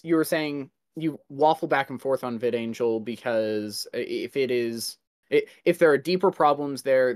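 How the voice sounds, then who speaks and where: Male, medium pitch, casual podcast discussion, halting delivery, thinking out loud. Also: dry recording, clean studio sound.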